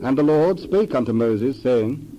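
A man narrating a dramatized scripture passage in a steady storytelling way. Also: mid-range voice, measured pace, muffled recording, noisy background.

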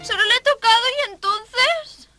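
A woman voicing a small, fretful young female chimpanzee in a cartoon, squeaky and excitable. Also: moderate pace, over music. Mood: anxious, excited.

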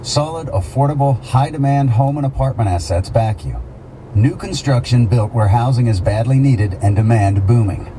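A man's voice: medium pitch, moderate pace, dark tone. A man narrating a scripted radio advertisement as a commercial announcer. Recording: noisy car radio pickup.

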